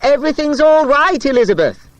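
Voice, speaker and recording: A man voicing a bold, excitable schoolboy sleuth in a children's audiobook, eager and exclamatory. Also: very high-pitched, brisk, dry recording, highs cut off, voice pushed up. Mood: excited, shouting.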